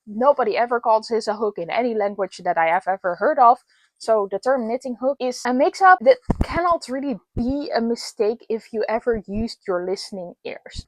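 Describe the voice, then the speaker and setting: A young woman hosts a casual commentary, reading posts aloud and then explaining her view. Her voice sits a little high, and she talks in a chatty, explanatory way that jumps into emphatic bursts. The sound is dry and close, with no room around it. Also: no room sound, low-quality recording. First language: Dutch. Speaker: video host.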